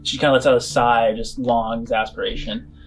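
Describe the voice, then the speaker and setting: A man's voice: medium pitch, level tone, fairly quick, soft background music. A man narrating a tabletop role-playing game as its game master.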